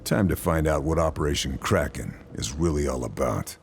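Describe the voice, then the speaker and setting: A man's voice: a bass voice, normal speed, vocal fry, a little hiss or hum. A man playing a stoic Allied sniper in a war video game, terse and grave as he narrates his missions. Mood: grave, determined. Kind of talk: scripted cinematic mission narration.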